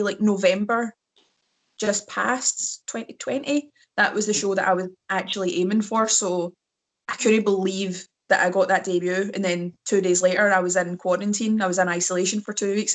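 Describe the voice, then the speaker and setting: A Scottish woman in her thirties, a wrestler chatting as a podcast guest, telling rambling self-mocking stories. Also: medium pitch. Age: 30s. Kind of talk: casual podcast chat.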